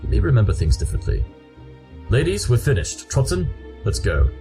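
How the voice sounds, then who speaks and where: A man's voice: mid-range voice, huge pitch swings, fairly quick, creaky, over music. A man playing an aloof, blunt pony detective in an audio drama, speaking formally and with pedantic precision. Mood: confident, detached. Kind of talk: fan audio drama acting.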